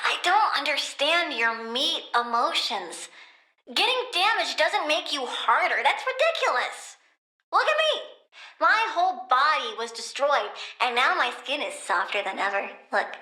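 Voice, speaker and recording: A woman's voice: high-pitched, sing-song, a little slow, sharp tone, roomy sound, exaggerated voice. A woman voicing a young, childlike plant-woman supervillain in a video game, curious, blunt and petulant, with an inquisitive, awkward manner. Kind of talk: video game character acting.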